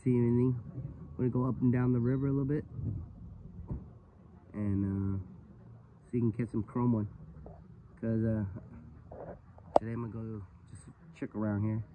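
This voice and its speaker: A man's voice: mid-range voice, steady tone, normal speed, long pauses. A man hosting a fishing channel, chatting casually outdoors by a river into a handheld phone, with dropped word endings in his speech. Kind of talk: casual outdoor vlog chat. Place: outdoors at a river, on and near a small boat. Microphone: handheld phone near arm's length. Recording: muffled phone-like audio, outdoor boat noise.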